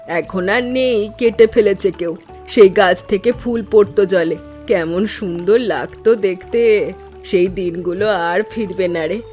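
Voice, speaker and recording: A woman's voice: medium pitch, a little mellow, natural voice. Affectionate village aunt played by a woman, music behind. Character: affectionate middle-aged village aunt, nostalgic, gently teasing. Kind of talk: animated story dialogue.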